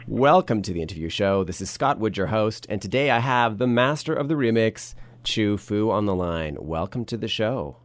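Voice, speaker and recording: A man's voice: on the low side, expressive, normal speed. A man hosting an interview show, asking upbeat, punchy questions.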